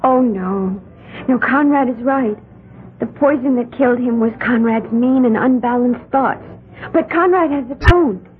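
A woman's voice: on the high side, a little slow, lightly acted. An actress playing an odd young woman who speaks in a placid, matter-of-fact, faintly dreamy way, in a 1970s radio drama. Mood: calm. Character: serene, odd young woman with a strange secret. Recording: thin, phone-like old radio recording.

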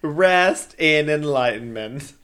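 A man's voice: fairly high pitch, expressive, takes it slowly, clean tone. A man in his thirties co-hosting a podcast in casual, playful banter, often laughing. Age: 30s. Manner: playful, giggly, breaks into loud laughs. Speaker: podcast co-host.

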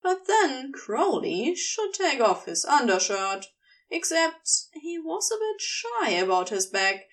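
A woman reading fiction aloud as an expressive scripted narration. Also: sits high, slow, far from the mic. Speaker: fan fiction audiobook reader.

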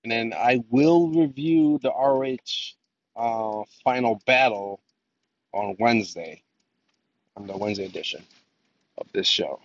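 A man's voice: mid-range voice, a little slow, pauses often, distant mic. A man hosting a podcast alone, rambling casually with lots of filler words, his voice nasal from a lingering cold. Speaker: podcast host. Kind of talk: casual solo podcast talk. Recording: dull lo-fi, a bit off-mic.

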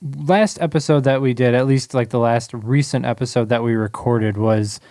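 A man's voice: medium pitch, moderate pace, a little mellow, no echo, clean studio sound. A man co-hosting a podcast in easygoing, conversational chat, laughing often and leading the talk with questions. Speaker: podcast co-host. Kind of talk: casual chat.

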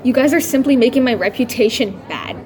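A woman's voice: fairly high pitch, brisk, darkish tone, soft background music, natural voice. A young female student playing a snobbish, scornful, bullying rich schoolgirl in an audio drama. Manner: haughty, scornful.

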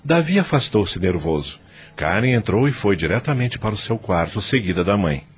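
A man narrating a radio drama in a measured, theatrical way. His voice is very low and heavily raspy, and it rises and falls widely. Faint music plays beneath him. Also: sing-song.

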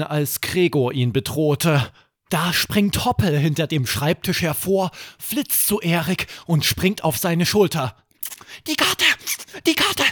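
A man narrating a scripted children's bedtime story as podcast host, with expressive, dramatic delivery. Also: medium pitch, no echo, studio quality.

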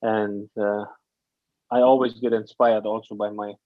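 Low, flat-voiced man on dull audio. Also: no echo. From Afghanistan and Germany. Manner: halting, searching for words, with many restarts. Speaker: guest, mental training coach. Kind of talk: casual interview talk.

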